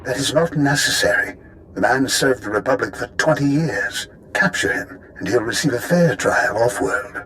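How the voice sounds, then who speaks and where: An actor playing a pragmatic, principled military officer in a video game, measured and earnest. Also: male voice, mid-range voice, fairly bright tone, slight background noise, close to an everyday voice, low-quality recording. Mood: firm.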